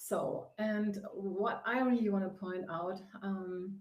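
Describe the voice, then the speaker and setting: A woman's voice: mid-range voice, steady tone, slow pace, lo-fi sound. German-accented woman, earnest, echoey room. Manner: earnest, searching for words, frequent hesitations. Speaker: host, face yoga founder. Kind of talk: inspirational live-stream talk. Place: sitting on a sofa.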